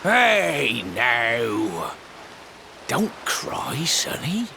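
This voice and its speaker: An actor voicing a gruff but kindly old fisherman in a video game, with folksy, rustic speech and an excitable, theatrical manner. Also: mid-range voice, very slow, background noise, full character voice. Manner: folksy, rustic dialect with "ain't" and "sonny".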